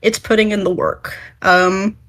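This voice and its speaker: A woman's voice: medium pitch, little pitch movement, measured pace, a bit bright. A woman speaking as a writer on a discussion panel, giving frank and earnest, emphatic advice in a conversational way.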